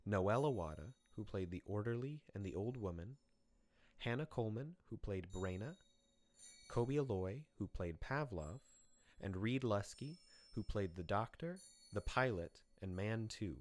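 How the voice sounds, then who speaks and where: A man with a fairly low voice serves as the announcer for a radio play. He reads out scripted closing credits and acknowledgements. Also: expressive, a little slow.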